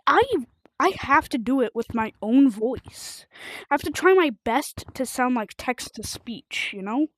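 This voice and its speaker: A woman with a high voice and very lively swings in pitch, recorded close and dry. She rambles casually and excitably, like a game streamer talking to viewers in informal language. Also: unhurried, dry recording. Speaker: livestreamer of a video game. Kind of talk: rambling casual livestream chat while gaming.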